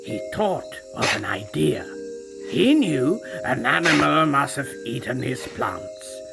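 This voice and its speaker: A man's voice: medium pitch, big pitch swings, slow, vocal fry. A man narrating a storybook as a proud, magical ancient male tiger, in a theatrical, self-satisfied way. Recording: narration over loud music.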